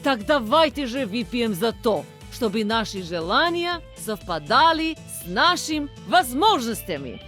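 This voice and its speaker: A woman's voice: a little high, sing-song, normal speed, few pauses, a bit bright. A woman playing a strong, proud Russian soldier in a video game, with a Russian accent. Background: music.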